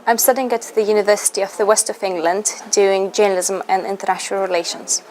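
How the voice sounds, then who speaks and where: A woman's voice: medium pitch, steady tone, fast, a little mellow, a little hiss or hum, poor audio. A woman in her twenties from Lithuania whose first language is Russian, a university student earnestly answering interview questions with frequent "you know" fillers. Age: 20s.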